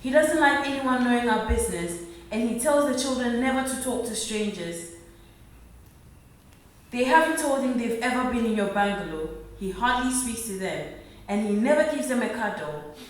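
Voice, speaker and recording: A woman playing a gentle, worried working-class mother in a radio play. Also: fairly high pitch, lively intonation, moderate pace, natural voice. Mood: grateful, sorrowful. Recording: echoey room, far from mic.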